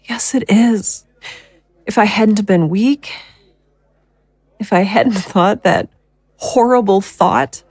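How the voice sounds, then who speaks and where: A woman playing a guilt-ridden, worn-down young mother in an audio drama, speaking haltingly and emotionally. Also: medium pitch, darkish tone, dry recording. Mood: anguished, guilty. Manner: halting, emotional confession with sighs.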